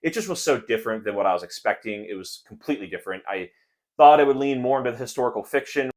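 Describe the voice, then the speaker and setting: A man's voice: medium pitch, animated, fast. A man hosting a casual book chat for viewers, speaking in an enthusiastic, positive way. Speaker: book reviewer and video host.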